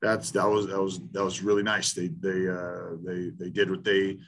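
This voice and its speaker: Football head coach, 50s, low voice, blunt, stumbling restarts, video-call mic. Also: male voice, monotone, fairly bright tone. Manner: blunt, halting, with many restarts and fillers. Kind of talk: post-game press conference. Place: small room in front of a sponsor backdrop.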